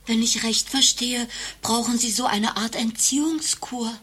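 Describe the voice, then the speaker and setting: An actress playing a polite, timid but curious new patient in a satirical radio play. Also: female voice, on the high side, steady tone, a little slow, fairly bright tone, breathy edge.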